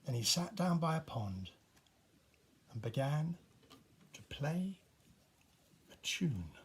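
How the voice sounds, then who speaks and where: Male storybook narrator, husky and unhurried, very animated pitch, expressive reading for young children. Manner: expressive, with dramatic pauses and hushed emphasis.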